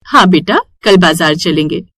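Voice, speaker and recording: A woman voicing a kind, hardworking mother who runs a food stall in an animated moral story, caring and reassuring. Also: lowish voice, normal speed, dry recording, studio quality.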